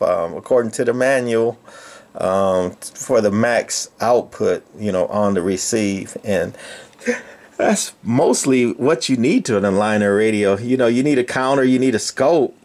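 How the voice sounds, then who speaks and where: Older male workshop host, folksy, halting, casual demo talk, mid pitch. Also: darkish tone. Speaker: radio repair channel host. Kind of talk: casual equipment demonstration. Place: indoor electronics workshop.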